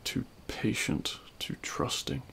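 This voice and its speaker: A man playing a remorseful, self-loathing supervillain in an intimate audio roleplay, speaking in a halting, anguished way. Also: a bit bright, in a whisper. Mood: sorrowful resignation. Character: remorseful male supervillain confessing love at a grave.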